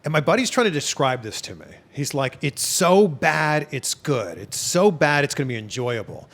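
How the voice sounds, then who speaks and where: A man's voice: fairly high pitch, big pitch swings, normal speed, a bit bright, a little hiss or hum. A man from Toronto hosting a casual interview, chatty and enthusiastic. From Toronto, Canada. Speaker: interview host.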